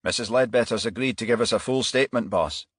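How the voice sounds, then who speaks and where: A man's voice: mid-range voice, breathy edge, no echo, studio quality, close to an everyday voice. Man acting a dutiful junior police officer. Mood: eager, businesslike. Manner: brisk, deferential. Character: dutiful male junior police officer reporting to his boss.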